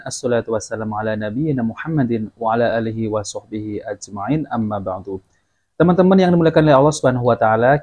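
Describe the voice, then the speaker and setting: Mellow-voiced man, religious commentary, dull recording. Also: medium pitch. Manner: didactic, repeats and explains clips. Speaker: channel host and commentator. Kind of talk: religious commentary to camera, addressing viewers.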